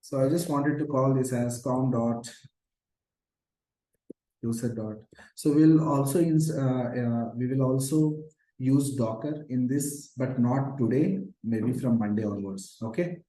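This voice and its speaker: A hoarse man teaching a live online coding class, explaining patiently with many fillers like "okay" and "uh". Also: mid-range voice, unhurried, mellow. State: hoarse from shouting. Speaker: programming instructor. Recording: roomy echo.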